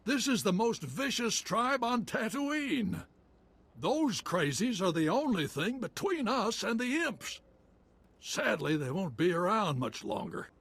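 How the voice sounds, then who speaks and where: High-pitched, husky frontier commander. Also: male voice, fairly bright tone, exaggerated voice. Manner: folksy, clipped colloquial speech. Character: wry frontier militia commander resisting an empire. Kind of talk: video game voice acting.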